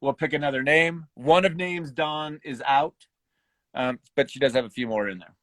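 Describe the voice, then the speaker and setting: Guy doing a live raffle stream, kinda high voice, dry sound, halting, thinks out loud while he fiddles with stuff. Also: no room sound. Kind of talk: casual livestream chat.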